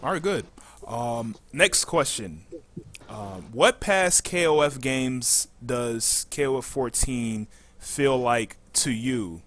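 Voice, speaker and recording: A man's voice: medium pitch, takes it slowly, a bit bright. A man hosting a casual gaming interview, putting questions to his guests. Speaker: interview host.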